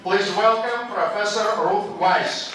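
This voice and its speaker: A man's voice: high-pitched, very noisy. Man introducing a speaker in an echoey hall. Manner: measured, ceremonial reading. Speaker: event host introducing speaker. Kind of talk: formal introduction. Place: large banquet hall. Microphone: handheld mic. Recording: echoey hall, mic from far off.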